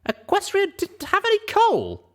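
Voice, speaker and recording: A man voicing an excitable, exasperated young female pony adventurer in a storybook reading. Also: very high voice, unhurried, voice pushed up, full character voice. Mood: incredulous, exasperated.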